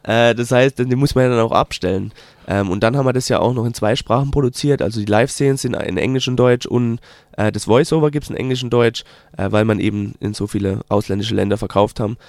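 Palatinate German man, mid pitch, hesitant filler-heavy delivery, dry close recording. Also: darkish tone, no echo. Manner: hesitant, many filler sounds, slow drawn-out phrasing. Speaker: documentary filmmaker guest. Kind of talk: casual interview answers.

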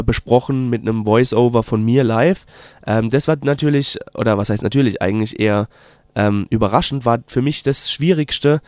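Palatinate German man, mid pitch, hesitant filler-heavy delivery, dry close recording. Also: a little mellow, no echo. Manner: hesitant, many filler sounds, slow drawn-out phrasing. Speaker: documentary filmmaker guest. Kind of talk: casual interview answers.

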